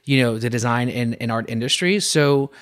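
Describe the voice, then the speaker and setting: A man hosting a design podcast, reading a scripted introduction and then asking a guest questions in a lively conversational way. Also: medium pitch, moderate pace. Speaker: podcast host. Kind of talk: podcast interview.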